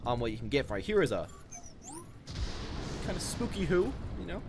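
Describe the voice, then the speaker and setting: A man's voice: fairly high pitch, very animated, normal speed, music in the background. A young man giving casual, rambling off-the-cuff commentary as a gaming video host.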